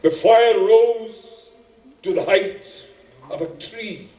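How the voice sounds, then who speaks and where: A man narrating an epic as a stage actor, dramatic and deliberate, with weighty pauses. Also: high voice, mellow. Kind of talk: theatrical epic storytelling. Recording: roomy, echoing, distant microphone.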